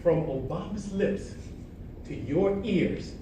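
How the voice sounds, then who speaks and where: A man's voice: high voice, lively intonation, slow pace, dark tone. A man delivering a humorous, mock-formal tall-tale speech to an audience. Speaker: speech contest storyteller. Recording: very echoey hall, distant, noisy crowd.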